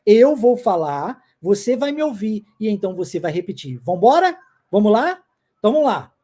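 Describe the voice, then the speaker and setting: A man's voice: sits high, sing-song, normal speed, warm tone. A middle-aged man who grew up in the United States and whose first language is English, teaching an online lesson live and checking in often with viewers.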